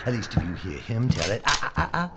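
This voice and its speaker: An actor playing a gloating Old West villain, taunting and mock-genteel in a Western audio drama. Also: male voice, fairly low pitch, very animated, fast. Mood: gloating menace. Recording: muffled lo-fi with clear noise.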